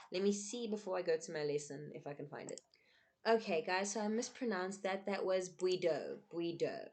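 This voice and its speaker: A young South African woman, not a native English speaker, giving earnest unscripted commentary to camera, often checking in with "right?" between points. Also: on the low side. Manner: earnest, emphatic, with frequent "um" and "right?". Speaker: vlogger.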